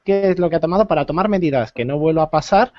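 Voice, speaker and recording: A man on a panel explaining a topic slowly, searching for his words. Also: fairly high pitch, dry recording, dull audio. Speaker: guest panelist. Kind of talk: explaining on a video call panel.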